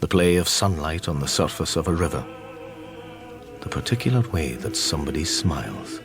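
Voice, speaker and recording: An actor playing a gentle, reflective middle-aged psychic detective, narrating a radio drama in a measured, wistful way. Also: male voice, very deep voice, animated, loud music behind the voice.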